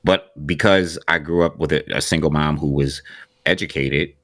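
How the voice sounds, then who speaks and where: A man co-hosting a podcast, laying out his argument in a measured, deliberate way. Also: very deep voice, steady tone, fairly bright tone, no echo, studio quality. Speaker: podcast co-host. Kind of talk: casual podcast discussion.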